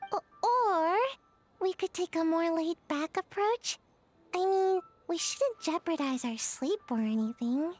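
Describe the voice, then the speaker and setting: A woman's voice: extremely high pitch, lively intonation, measured pace, a little mellow, faint music behind. A woman voicing a sleepy, lazy young ninja girl in a video game, hesitant and laid-back. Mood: drowsy, laid-back. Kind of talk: English video game voice acting.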